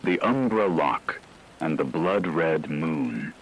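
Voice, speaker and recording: A man playing a solemn radio news anchor, delivering scripted, ominous disaster reports in a measured way. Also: very deep voice, lively intonation, exaggerated voice, poor audio. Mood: grave, ominous. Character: grave male radio news anchor reporting a werewolf apocalypse. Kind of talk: scripted dramatic news narration.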